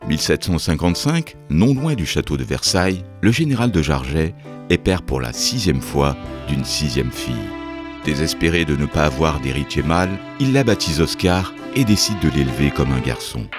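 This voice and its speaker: An extremely deep male voice narrates a documentary from a script. The man has an accent from France, and music plays clearly behind him. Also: very animated, normal speed. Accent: French from France. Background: music behind the voice-over.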